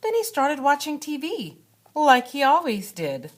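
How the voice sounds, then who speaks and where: A woman reading a children's storybook aloud like a schoolteacher, with expressive, dramatic narration and deliberate phrasing. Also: sits high, slight background noise. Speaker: second grade teacher reading aloud.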